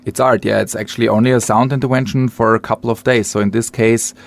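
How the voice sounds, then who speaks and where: A man who is not a native speaker, chatting as an artist guest in an interview, halting and rephrasing as he explains. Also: medium pitch, steady tone, gravelly. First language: not English. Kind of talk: casual conversational interview.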